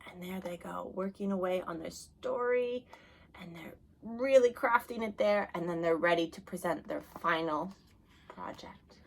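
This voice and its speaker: A woman reading a children's picture book aloud, expressive, going soft and hushed at the sad moments. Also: lowish voice, unhurried, creaky, a little hiss or hum. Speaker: reader.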